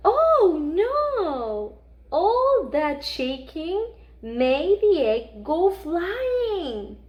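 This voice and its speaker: A woman voicing an excitable, mischievous, friendly picture-book monster, full of gasps and exclamations as she coaxes young children along. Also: high voice, heavily acted. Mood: alarmed. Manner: gasping, exclaiming, exaggerated wonder, coaxing young children. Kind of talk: animated children's read-aloud.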